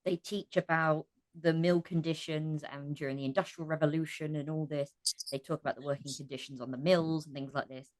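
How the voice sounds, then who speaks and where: Low-voiced woman speaking earnestly. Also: steady tone, a little fast, a little mellow. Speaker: podcast guest, cast member of an audio drama. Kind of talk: impassioned casual discussion.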